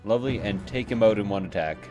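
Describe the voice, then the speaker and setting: A man's voice: fairly low pitch, quick pace, warm tone. A man giving casual let's play commentary, explaining the game and thinking aloud as he goes. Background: game music.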